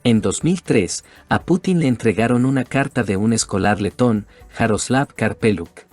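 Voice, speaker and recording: A man reading a scripted documentary narration in a measured way. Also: medium pitch, lively intonation, darkish tone, no room sound, soft background music.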